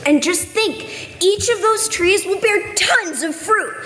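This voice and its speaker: A woman's voice: extremely high pitch, takes it slowly, pushed and bright, full character voice. A woman playing a sincere, practical village child in an amateur readers theater. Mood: hopeful. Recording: echoey hall, faint noise.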